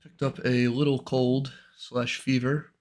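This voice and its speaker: A young man with a cold, slightly hoarse, chatting in a relaxed, rambling way as host of a trading livestream. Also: medium pitch, unhurried. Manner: relaxed, rambling, many fillers. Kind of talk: casual livestream chat.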